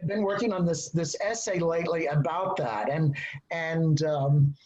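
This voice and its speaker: A man's voice: on the high side, normal speed, a little mellow. A man with a Texas accent, an author talking as a panel guest in a chatty, digressive way with laughing asides. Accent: Texan. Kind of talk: casual panel conversation. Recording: dull lo-fi sound.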